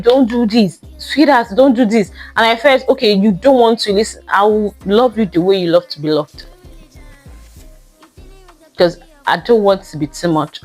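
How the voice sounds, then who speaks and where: Loud, emphatic woman host. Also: on the high side. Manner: emphatic, conversational, drawn-out stressed words. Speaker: podcast host. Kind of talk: casual monologue podcast.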